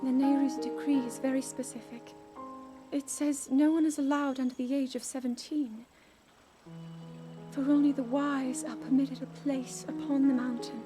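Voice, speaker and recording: A young woman voicing an earnest young princess who is burdened by duty and doubts herself, speaking softly in a game cutscene. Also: high-pitched, little pitch movement, normal speed, music in the background, close to an everyday voice. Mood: gentle. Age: young adult. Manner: soft, pleading, searching. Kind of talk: video game cutscene voice acting.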